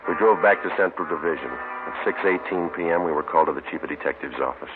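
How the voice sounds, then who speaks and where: A very low-voiced, stern American detective played by a male actor in an old radio crime drama. He talks quickly in clipped, deadpan phrases. The recording is muffled and narrow, with music behind. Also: lightly acted. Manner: clipped, deadpan, matter-of-fact. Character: terse, stern male Los Angeles police detective narrator. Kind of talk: 1950s radio crime drama acting and narration.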